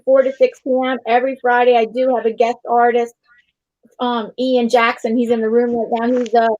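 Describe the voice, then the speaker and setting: Lady host chatting on a stream, high voice, repeats herself, muffled lo-fi sound. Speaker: livestream host. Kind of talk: casual livestream chat. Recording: dull livestream audio.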